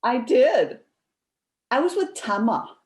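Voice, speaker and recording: A woman's voice: fairly high pitch, big pitch swings, slow, a little mellow. A woman acting a coy, sheepish friend who guards a secret, giving hesitant, evasive replies in a conversational comic one-act. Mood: playful.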